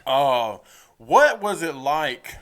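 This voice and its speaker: A man's voice: on the high side, sing-song, very slow, fairly bright tone. A man hosting a casual podcast, asking questions in an easygoing, teasing way. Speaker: podcast host. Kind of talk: casual chat.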